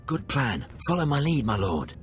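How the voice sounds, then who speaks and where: A man's voice: mid-range voice, very animated, moderate pace, soft background music, lightly acted. A man voicing an officious guard captain in a video game. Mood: deferential, conspiratorial. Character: officious male guard captain, secretly deferential.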